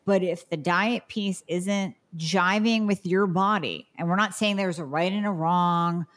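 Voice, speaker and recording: A woman's voice: lowish voice, unhurried. A woman hosting a health podcast, affirming her guest and explaining things at length. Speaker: podcast host. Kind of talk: casual health podcast talk.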